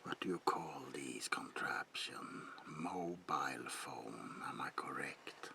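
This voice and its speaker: Male actor as old vampire recluse, ASMR roleplay, crisp breathy whisper, bottomless pitch, politely menacing, lingering old-fashioned phrasing. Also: slow pace, bright, forceful tone, exaggerated voice. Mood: curious, musing.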